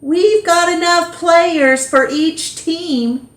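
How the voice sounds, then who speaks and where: A brash, confident schoolboy from a storybook, played by a woman reading aloud, pitched high and loud. He orders the other kids about with assurance. The sound is roomy and echoing. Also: very slow, exaggerated voice. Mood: bossy, brash. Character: confident, bossy schoolboy in a picture book. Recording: roomy echo.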